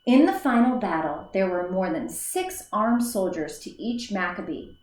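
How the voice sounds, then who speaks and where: A woman's voice: mid-range voice, lively intonation, measured pace, a little mellow. A woman teacher reading a children's story aloud in a careful read-aloud manner, with the odd slip and restart. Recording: echoey room.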